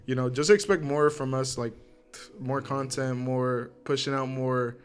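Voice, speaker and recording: A man's voice: medium pitch, fairly even intonation, a little slow, soft background music. A young man of about twenty co-hosting a podcast in an informal, slangy, conversational way. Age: 20s. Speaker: podcast co-host. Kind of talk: casual chat.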